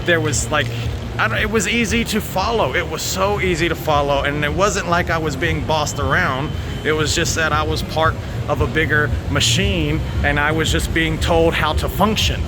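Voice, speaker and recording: An American man talking casually to viewers, rambling and halting, candid and sweary, into a handheld phone. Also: on the high side. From United States. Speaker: vlogger. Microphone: handheld phone at arm's length. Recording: noisy outdoor recording with vehicle sounds.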